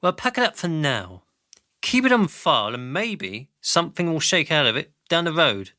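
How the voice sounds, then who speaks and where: A man's voice: a little high, very animated, normal speed, pushed and bright, no room sound, clean studio sound. A man playing a glib, greedy, callous company boss in a radio drama, pompous and flippant in manner. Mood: breezily callous.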